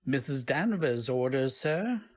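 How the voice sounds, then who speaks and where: A man's voice: medium pitch, expressive, unhurried. A man playing an elderly, kindly butler with a formal, deferential manner in an old-time radio drama.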